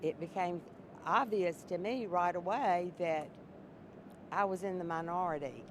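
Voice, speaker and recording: A woman serving as a juror, answering interview questions in a loud voice, often restarting and repeating herself. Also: on the low side, unhurried, a little hiss or hum.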